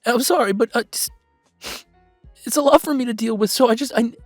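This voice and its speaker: A woman voicing a young male werewolf streamer, soft-hearted and excitable, talking to viewers in halting, heartfelt, informal chatter in an audio roleplay. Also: on the low side, no echo, soft background music, natural voice. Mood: sheepish, emotional.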